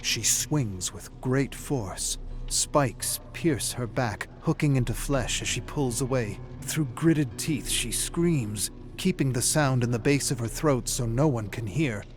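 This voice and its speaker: A man delivering grave, deliberate, theatrical narration of a dark fantasy story. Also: mid-range voice. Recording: music behind the voice. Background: dramatic music.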